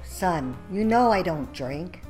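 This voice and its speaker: A prim older grandmother acted by a woman, with a slow, fairly low voice. She is measured and mildly disapproving, in a radio play with faint music. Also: expressive, a little mellow. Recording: music behind the voice.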